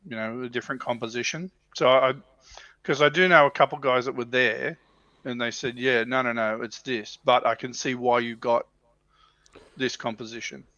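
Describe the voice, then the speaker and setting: A man's voice: medium pitch, fairly even intonation, moderate pace, bright, forceful tone, dry recording. A man co-hosting a casual livestream chat, tentative and thinking aloud, on a slightly dull, dry recording. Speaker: livestream co-host on tabletop wargaming show.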